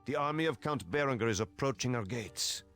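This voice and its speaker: An actor playing a formal, courteous medieval ally who advises a knight in a strategy game. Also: male voice, mid-range voice, animated, a little fast, loud music behind the voice, lightly acted. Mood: reassuring. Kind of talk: scripted game dialogue.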